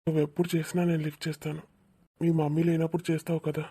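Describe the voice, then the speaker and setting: A tender young male lover from a cartoon romance, acted by a woman, soft and romantic. The voice is low, dark and husky, with an even, restrained melody. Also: racing.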